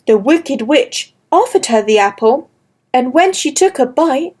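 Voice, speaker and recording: A woman reading a fairy tale aloud for children, slow, clear and gentle. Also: high voice, expressive. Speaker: storyteller. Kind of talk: scripted children's story narration.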